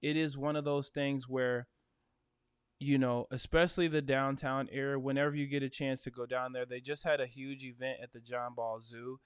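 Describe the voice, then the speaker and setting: A man talks through a casual realtor livestream in an upbeat, chatty way, with lots of "uh" and "you know" along the way. His voice is smooth and resonant. It sits dry and close on the mic, with no room sound. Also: medium pitch, little pitch movement, normal speed, no room sound. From Michigan. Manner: chatty, upbeat, with frequent fillers.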